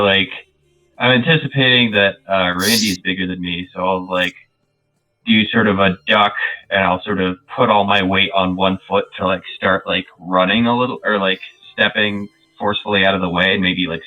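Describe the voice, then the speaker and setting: A man's voice: on the low side, fairly even intonation, fairly quick, soft background music. A man playing a tabletop roleplaying game, chatting casually and tentatively as he thinks aloud, on a dull lo-fi recording.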